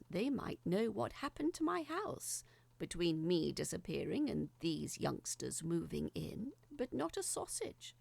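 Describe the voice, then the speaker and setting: Woman playing a wry, musing letter reader, creaky. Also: lowish voice, dry recording, pitched below the performer's own voice, full character voice. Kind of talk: scripted audio drama monologue.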